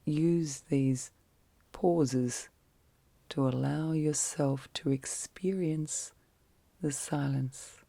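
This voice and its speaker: A woman speaks very softly, often slipping into a breathy whisper, as she guides a sleep meditation. Her delivery is slow and fairly even, with gentle, soothing phrases set apart by long pauses. There is a creaky fry in the voice, and the sound is close and dry, in an ASMR style. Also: deep voice, dry recording.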